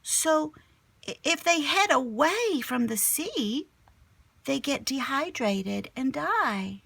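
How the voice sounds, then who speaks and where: A woman's voice: high voice, lively intonation, slow, creaky, fairly bright tone, no room sound, pitched above the performer's own voice, full character voice. A woman voicing an eager, earnest young schoolgirl in a storybook. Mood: earnest, worried.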